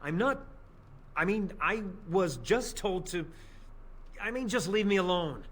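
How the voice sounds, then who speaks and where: An actor voicing a nervous, evasive young spy in a fantasy video game, stammering and defensive. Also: male voice, high-pitched, animated, unhurried, fairly bright tone, heavily acted. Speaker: game character.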